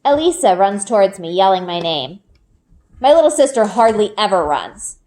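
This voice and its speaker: A woman reading a children's book aloud in an expressive storytelling style. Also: medium pitch, moderate pace. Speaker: read-aloud reader. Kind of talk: expressive children's book narration, first person. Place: group read-aloud with elementary pupils.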